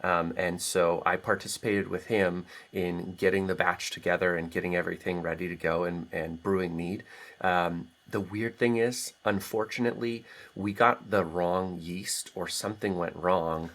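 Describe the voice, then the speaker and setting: A middle-aged American man with a low-pitched voice chats as an interview guest. He is reflective and talkative, speaking in a casual, conversational way. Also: fairly bright tone. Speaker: guest author.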